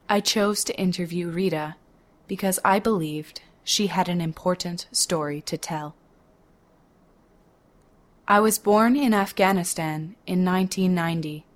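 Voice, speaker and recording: Woman, 20s, reading own writing, slow measured delivery, slightly low pitch. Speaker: writer reading her own work. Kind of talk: reading a memoir piece aloud.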